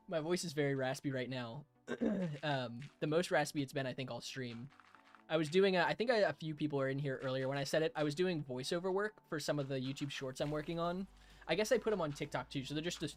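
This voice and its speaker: A hoarse young man live-streaming a game, chatting casually with viewers, chuckling and thanking them. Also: a little high, brisk, soft background music. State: hoarse, raspy throat.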